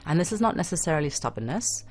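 A woman's voice: deep voice, sing-song, quick pace, a little mellow. A woman speaking as a guest on a talk show, explaining patiently with frequent tag questions and restarts. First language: not Arabic. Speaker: guest expert on children's language learning. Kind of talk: radio talk show discussion.

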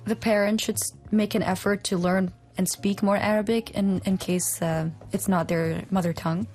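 Calm woman talk show host. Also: mid-range voice, normal speed, soft background music. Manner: calm, asks questions and reads out listener messages. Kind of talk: morning radio talk show.

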